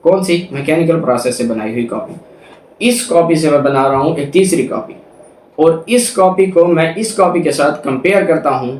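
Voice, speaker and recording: A man's voice: a little high, normal speed, darkish tone. A man with a Pakistani accent giving an explanatory lecture, reading out formal text and restating it step by step with examples. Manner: didactic, repeats and rephrases points with examples. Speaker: law lecturer. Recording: echoey room, distant microphone.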